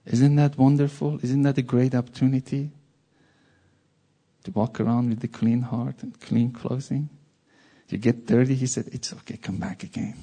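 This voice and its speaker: A man's voice: medium pitch, little pitch movement, moderate pace, warm tone. A man from Iran with a Persian accent, giving an informal sermon with jokey asides. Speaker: preacher.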